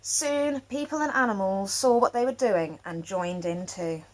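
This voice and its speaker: A woman storyteller reading a children's picture book aloud expressively, with dramatic pauses. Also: medium pitch, rough recording.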